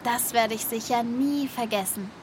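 A woman's voice: on the high side, normal speed, noisy background. A woman hosting a children's podcast, telling stories as scripted narration and addressing young listeners directly, recorded in a studio.

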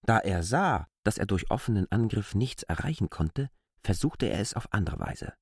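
A man's voice: fairly low pitch, lively intonation, normal speed, slightly rough, no echo, clean studio sound. A man reading scripted literary narration for an audio drama.

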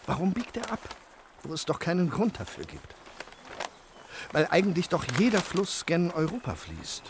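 A man's voice: a little high, huge pitch swings, normal speed, background noise. A man playing a puzzled questioner in a radio drama. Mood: questioning. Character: puzzled man asking why rivers bend.

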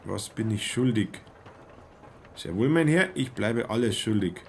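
Radio play: young travelling salesman, self-important, stilted, unhurried delivery with some fry, faint background ambience. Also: lowish voice, lively intonation, a little hiss or hum. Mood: studious, reciting. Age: young adult. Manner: stilted, pedantically correct speech.

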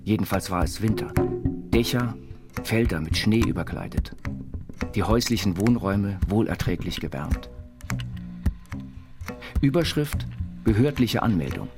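A man narrating literary autobiographical texts in the manner of a reader in an audio play. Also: lowish voice. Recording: voice over prominent guitar music. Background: plucked guitar music.

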